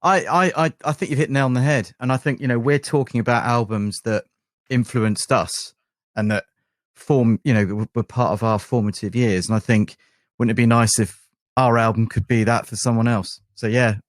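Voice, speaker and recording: A man's voice: medium pitch, fairly even intonation, moderate pace, no room sound, studio quality. A middle-aged man, chatting reflectively and agreeably as a musician in an interview. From England. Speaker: band keyboardist, interview guest. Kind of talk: casual interview talk.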